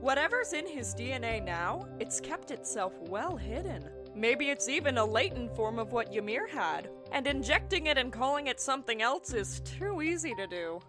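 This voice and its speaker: A woman voicing an excitable, eccentric scientist in a fan audio drama, speaking in a bubbly, chatty manner. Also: a little high, normal speed, hardly any pauses. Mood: eager. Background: music score.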